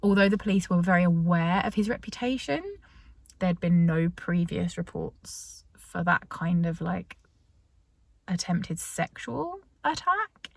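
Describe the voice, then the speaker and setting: A British woman talks straight to her viewers in a relaxed, rambling way, stopping and restarting her sentences often. Her voice sits a little low. The sound is dry and close, with no room around it. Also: a little slow, creaky, dry recording. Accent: British English. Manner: chatty, many fillers and restarts. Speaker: vlogger. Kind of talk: casual storytelling to camera.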